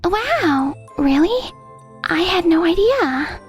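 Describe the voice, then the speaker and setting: A woman's voice: very high voice, very animated, slow, faint music behind, exaggerated voice. A woman voicing a timid, sweet young female office colleague in an English manga dub, tentative in manner. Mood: timid, surprised.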